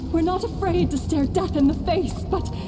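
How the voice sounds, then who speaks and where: A woman's voice: very high-pitched, moderate pace. An actress voicing a brave, loving mother in a memory, speaking earnestly and urgently. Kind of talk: video game cutscene dialogue. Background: loud music.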